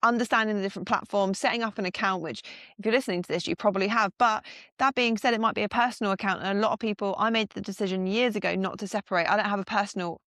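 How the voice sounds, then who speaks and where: A woman's voice: mid-range voice, fairly even intonation, very fast, a bit bright, no echo, studio quality. A woman co-hosting a business podcast, speaking frankly and upbeat, with casual swearing. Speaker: podcast co-host, fitness business owner.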